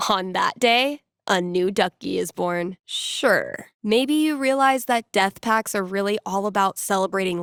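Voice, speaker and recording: A young woman hosting a late-night radio call-in show in a video game. She is wry and encouraging, spinning playful psychic predictions with mock solemnity. Her delivery is conversational at an average pitch, recorded dry with no room sound. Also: a bit bright, no room sound. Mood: wry, thoughtful. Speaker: radio host.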